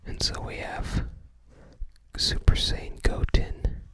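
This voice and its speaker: A person whispering softly and breathily in an ASMR style, musing unhurriedly in unscripted commentary. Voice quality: soft breathy whisper, unvoiced. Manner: unhurried, musing, tentative recall. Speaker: ASMR video host. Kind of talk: ASMR, unscripted whispered commentary while browsing a game guide book.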